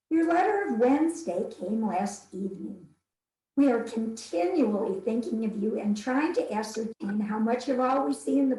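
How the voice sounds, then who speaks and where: A woman reading as a 19th-century wife writing tender, anxious wartime letters, in a formal, earnest and measured way. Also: a little high, warm tone, lightly acted. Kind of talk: dramatic reading of historical letters. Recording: echoey, roomy sound.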